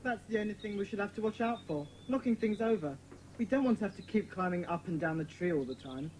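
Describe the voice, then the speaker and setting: A woman's voice: mid-range voice, lively intonation, fast talker, a little mellow, a little hiss or hum, natural voice, poor audio. A woman playing a confident schoolboy who leads a children's club in a dramatised audiobook, self-assured and organising. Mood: proud.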